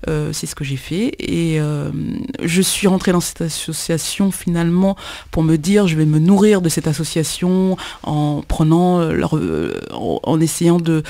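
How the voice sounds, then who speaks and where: A woman from Kinshasa, a musician guesting on a radio show, talking in a halting way with many pauses and repeated words. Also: low voice, expressive, warm tone, no echo. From Congo, Kinshasa. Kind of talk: radio interview, hesitant conversational speech.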